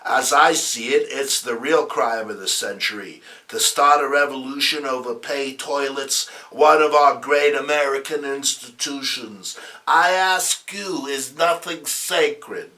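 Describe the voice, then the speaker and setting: A man playing a pompous, self-satisfied author on a talk show, speaking deliberately, in a comic-book reading. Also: mid-range voice, sharp tone, pitched below the performer's own voice. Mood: smug.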